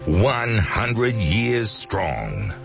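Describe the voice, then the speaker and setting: A man reading scripted radio station promos in a slow, deliberate announcing voice. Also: lowish voice, sing-song, gravelly. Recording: dull broadcast audio over loud music.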